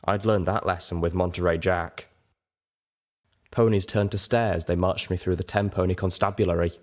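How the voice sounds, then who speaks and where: Male audiobook narrator, low register, dramatic first-person delivery with expressive phrasing. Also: moderate pace.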